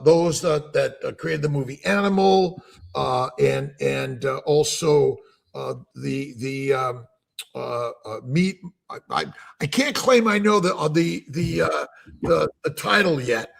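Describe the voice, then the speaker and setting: Raspy high-voiced older man, halting. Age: 70s. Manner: halting, many restarts and fillers. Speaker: host, doctor. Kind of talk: casual panel chat.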